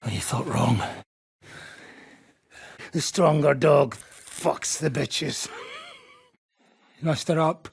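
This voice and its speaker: A man playing a gruff, foul-mouthed, menacing mercenary in a video game, with a gravelly, raspy voice and a coarse, swaggering, sardonic manner. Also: fairly high pitch, extremely slow, long pauses, no room sound, studio quality, heavily acted. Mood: gruff, commanding. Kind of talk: video game character acting.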